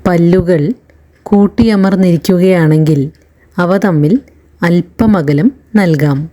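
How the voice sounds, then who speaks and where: A woman guides a relaxation session in a low, very mellow voice. She speaks extremely slowly and soothingly, leaving long pauses between short phrases, as a meditation instructor does. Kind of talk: slow guided relaxation narration.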